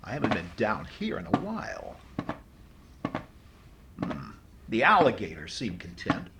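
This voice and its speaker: A man playing a fussy, stingy, bemused comedian in an old-time radio comedy, with deadpan pauses. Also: fairly low pitch, vocal fry, full character voice. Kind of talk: 1940s radio comedy acting. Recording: noisy, laughing audience behind.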